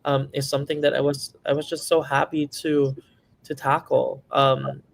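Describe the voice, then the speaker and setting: A man's voice: medium pitch, level tone, moderate pace, creaky. A young man from the Philippines, a filmmaker speaking reflectively as an interview guest, with frequent restarts. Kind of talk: casual interview.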